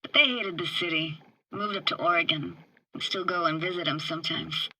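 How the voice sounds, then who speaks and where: An actress playing a tough, sardonic but warm-hearted young woman in a video game, talking in casual, clipped slang. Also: on the low side, steady tone, normal speed, husky, no room sound, poor audio. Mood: wistful, casual. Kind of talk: naturalistic video game voice acting.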